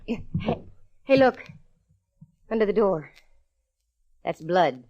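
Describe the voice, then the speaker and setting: A woman's voice: mid-range voice, expressive, slow, warm tone, no room sound, dull audio. An American actress playing a plucky, wry female private detective in a 1940s radio drama, slangy and quipping. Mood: wry, tense. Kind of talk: 1940s radio detective acting with first-person narration. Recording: vintage 1940s radio recording.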